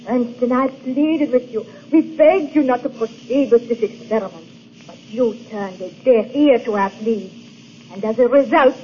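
An actress playing a grieving young fiancée in a vintage radio drama, accusing him with passion and tears. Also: female voice, fairly high pitch, darkish tone, slight background noise, lightly acted. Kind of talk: 1940s radio acting. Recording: muffled old radio recording.

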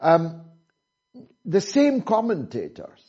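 British man hosting, slow deliberate delivery, rhetorical questions, lo-fi muffled sound. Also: sits high, wildly swooping pitch, dark tone, breathy edge. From Britain. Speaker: interviewer, host. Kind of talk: interview. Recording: dull lo-fi sound.

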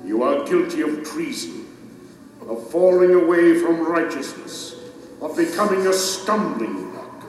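A man's voice: fairly high pitch, lively intonation, measured pace, a little mellow, slight background noise. An actor playing a stern, righteous king and judge in a solemn audio drama, speaking in a grave, declamatory way. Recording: cavernous echo, distant, music underneath.

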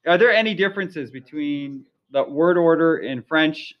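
Curious male interviewer, slightly high voice. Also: normal speed. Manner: friendly, curious, many fillers. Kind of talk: casual interview. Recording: dull lo-fi recording.

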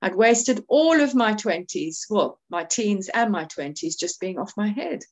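A middle-aged woman from South Africa with a South African accent, sharing her recovery story in a heartfelt, halting way. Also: mid-range voice, expressive, a little mellow. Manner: heartfelt, halting, many restarts. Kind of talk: personal testimony at a recovery meeting.

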